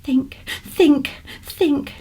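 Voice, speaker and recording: A woman's voice: high voice, very slow, mellow, pitched above the performer's own voice. A woman voicing a small, worried female magical rabbit in a children's storybook, fretful and a bit bossy. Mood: anxious, thinking. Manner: fretful, exclaiming.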